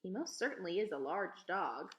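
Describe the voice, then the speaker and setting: A woman's voice: on the low side, very animated, moderate pace, fairly bright tone, slight background noise. A woman voicing a very small, very old, easily frightened lady librarian in a storybook. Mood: hesitant, proper. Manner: trembling, startled, hesitant. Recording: echoey, roomy sound.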